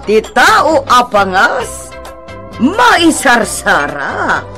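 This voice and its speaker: A woman's voice: a little high, huge pitch swings, very slow, pushed and bright, faint music behind, full character voice. An actress in an Ilocano radio drama playing a teasing, gossipy woman friend with a drawling delivery.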